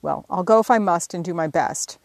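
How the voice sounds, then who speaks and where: A woman's voice: on the low side, a little fast, vocal fry, dry recording. A woman reading an audiobook voices a blunt, stubborn older sister with a grumbling, wry manner. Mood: resigned.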